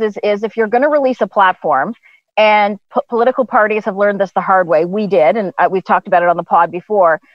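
A woman on a political panel over a video call, arguing briskly with many restarts. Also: medium pitch, no echo, highs cut off.

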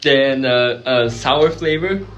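A young man from Taiwan, chatting casually and haltingly, often repeating words as he gives his view. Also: mid-range voice, a bit bright, lo-fi sound. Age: young adult. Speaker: snack taster. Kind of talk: casual on-camera reaction chat. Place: indoor room with block wall, seated at table.

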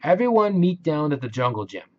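A man's voice: a little high, very animated, brisk, a little mellow, highs cut off. A man voicing a cheerful, friendly cat from a children's storybook in an upbeat way. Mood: warm, glad. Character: cheerful, friendly cartoon cat who builds treehouses.

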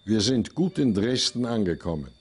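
A man's voice: lowish voice, sing-song, moderate pace, slightly rough, faint music behind. A man recounting memories as testimony, in short, simple phrases.

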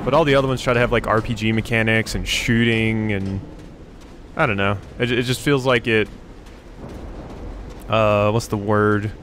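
A man's voice: on the low side, fairly even intonation, moderate pace, pauses often, a bit bright. A man giving casual let's-play commentary, thinking aloud in a rambling way and often repeating his phrases. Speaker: gaming YouTuber, let's player. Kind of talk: casual video game commentary. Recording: faint game ambience noise. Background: game ambience.

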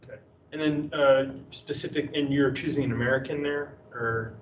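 A man's voice: mid-range voice, fairly even intonation, normal speed. A man in the audience poses long, winding questions to a speaker. He sounds far from the mic in an echoey room, with a dull tone and a faint hiss behind. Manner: thoughtful, rambling questions. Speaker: audience member asking questions. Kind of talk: academic question and answer.

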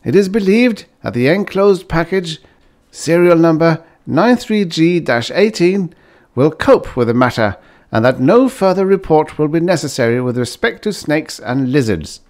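A man's voice: fairly high pitch, expressive, moderate pace, a little mellow, pitched above the performer's own voice. A man voicing a formal, officious government chief's written memo in an audiobook story. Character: stiff, officious bureaucrat chief dictating a memo.

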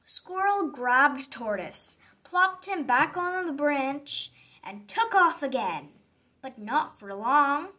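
A woman reading a children's story aloud in an expressive, dramatic manner for young listeners. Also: sits high, slow. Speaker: storybook reader. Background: background music.

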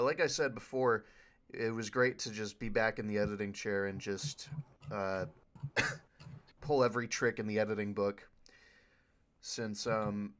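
Male panelist, lower-pitched, informal and enthusiastic, loosely rambling delivery. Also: fairly bright tone. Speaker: panelist, video editor for a fan dub group. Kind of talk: casual panel Q&A talk.

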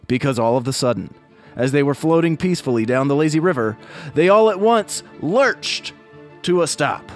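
An American man telling a scripted children's story in a conversational, explanatory way. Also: a little high. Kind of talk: scripted storytelling narration for kids. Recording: music bed behind narration. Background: music.

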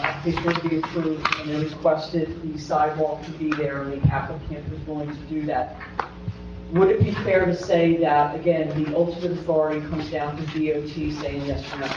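Board member, male, fairly high voice, halting; distant mic in a very echoey hall with noticeable hiss. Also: steady tone. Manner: halting, many restarts. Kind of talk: questioning at a public meeting. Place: large township meeting room. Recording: echoey room, distant and muffled.

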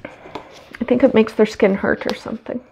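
A woman's voice: on the high side, steady tone, moderate pace, dark tone, dull audio, slight background noise. A woman with a cold and a stuffy nose, chatting casually with lots of ums while she paints at home. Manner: chatty and rambling, many ums. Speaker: acrylic pour artist. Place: home studio, indoors.

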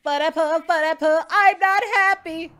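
A performer voicing an excitable young male anime hero, hosting a reaction video with melodramatic yelling and wailing. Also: very high-pitched, normal speed. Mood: outraged. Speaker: reaction channel host. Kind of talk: over-the-top reaction commentary. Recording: music playing behind.

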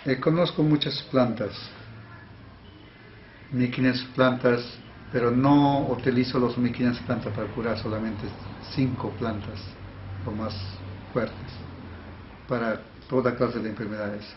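A healer from the Peruvian Amazon speaks with a Peruvian Amazon Spanish accent, his first language being Netusaca. He answers very slowly and haltingly, often breaking off and restarting his phrases. The recording is roomy and dull, with a faint hiss behind him. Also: medium pitch, steady tone. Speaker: healer interviewee. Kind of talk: unhurried interview answers.

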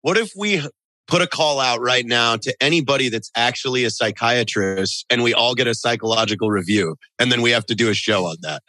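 A man co-hosting a podcast in casual chat, rambling with restarts as he rants, speaking into a boom mic in a studio room. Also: fairly quick, sharp tone, dry recording. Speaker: podcast co-host. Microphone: studio mic on boom arm.

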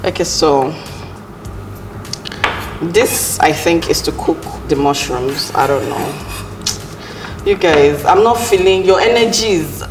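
Low-voiced Nigerian woman hosting a cooking show. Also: slow, pauses often. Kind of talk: casual cooking show chat. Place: studio kitchen, indoors. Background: music.

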